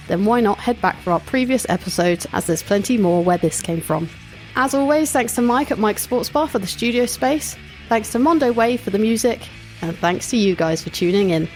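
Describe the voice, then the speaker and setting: A woman's voice: mid-range voice, low-quality recording. A woman with a stuffy nose hosting a podcast, asking easygoing questions and reading the outro. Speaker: podcast host. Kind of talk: casual interview and scripted outro.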